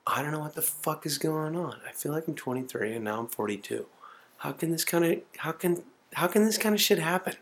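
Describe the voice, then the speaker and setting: A man's voice: fairly high pitch, expressive, fairly quick. A man in his forties rambling in a profane, self-mocking way to his home camcorder. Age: 40s. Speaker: video diarist. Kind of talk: casual self-recorded monologue.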